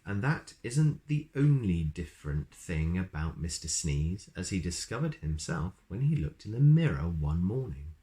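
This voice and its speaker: Man, storyteller, deep voice, animated delivery, children's read-aloud, chatty asides. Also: moderate pace, hardly any pauses. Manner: expressive, chatty asides to listeners.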